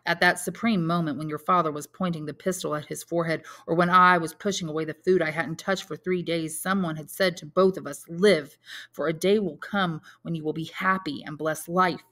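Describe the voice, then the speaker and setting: A woman reading aloud and voicing a solemn, commanding male count who speaks in a measured, persuasive way. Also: sits low, fairly bright tone.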